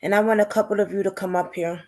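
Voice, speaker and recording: A woman hosting a casual livestream, reacting in an informal, halting way with short exclamations. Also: medium pitch, fairly even intonation. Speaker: livestream host.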